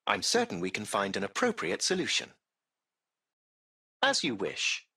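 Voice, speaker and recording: A man voicing a servile, impeccably polite artificial intelligence taxi driver in a video game, with formal, ornate, measured diction. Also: on the low side, huge pitch swings, gravelly, pushed and bright, husky, heavily acted. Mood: politely solicitous. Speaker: AI driver and concierge.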